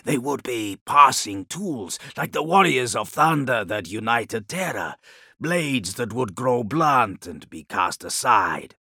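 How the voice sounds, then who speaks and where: Man voicing a slow, brooding warlord khan, gravelly. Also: mid-range voice, expressive, bright, forceful tone, no echo, clean studio sound, heavily acted. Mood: brooding, resolute. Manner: measured, deliberate, formal phrasing.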